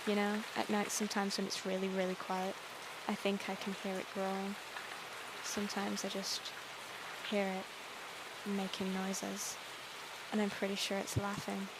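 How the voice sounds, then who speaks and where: A woman's voice: mid-range voice, very noisy, natural voice. A woman reading a hollow, despairing young princess. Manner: quiet, tired delivery turning to loud shouted outbursts. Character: depressed young princess, hollow and self-loathing. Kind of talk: reading a visual novel aloud. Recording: music and rain behind the voice.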